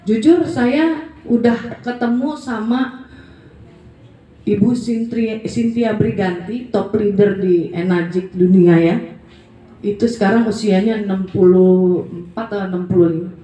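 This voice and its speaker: A woman's voice: medium pitch, measured pace, dark tone, roomy sound, far from the mic, low-quality recording. A woman in her forties giving a blunt, emphatic motivational talk in a casual, colloquial way. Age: 40s. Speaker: network marketing presenter.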